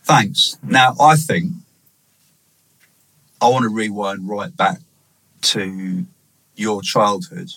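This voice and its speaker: Man, slow delivery, husky and bright, playfully formal interviewer. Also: on the low side, low-quality recording. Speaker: interviewer, host. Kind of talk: casual interview.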